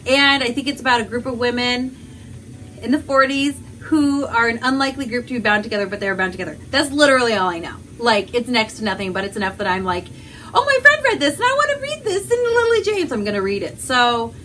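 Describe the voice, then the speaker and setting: A woman chatting casually as a book vlogger, animated and rambling, with self-deprecating asides. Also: fairly high pitch, fast, slight background noise, low-quality recording. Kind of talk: casual chatty vlog to camera.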